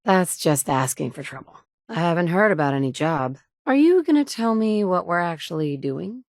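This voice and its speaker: A woman's voice: fairly low pitch, lively intonation, moderate pace, darkish tone, no echo. An actress voicing a tough, blunt survivor mother in a game, speaking her lines with a dry, wry delivery. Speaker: player character voice.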